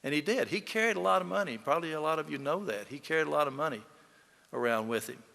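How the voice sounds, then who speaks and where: A man's voice: a little high, fast, creaky, bright, forceful tone. A man giving a eulogy, telling folksy anecdotes to an audience in an emphatic storytelling manner. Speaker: eulogy speaker, fellow lawyer. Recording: echoey hall with long reverb.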